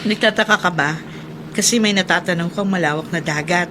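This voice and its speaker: A woman playing an honest, caring mother, gentle and solicitous, in a natural radio drama. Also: on the low side, brisk, close to an everyday voice. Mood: gentle, attentive. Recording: clear vehicle noise behind voice. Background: vehicle ambience.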